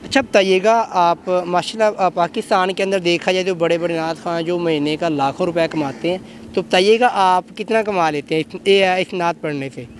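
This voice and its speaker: Male host, high pitch, effusive interviewing style, faint outdoor urban noise. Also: fairly even intonation, normal speed. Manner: effusive, repeats answers back. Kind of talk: street interview for a web show. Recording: outdoor street noise with traffic.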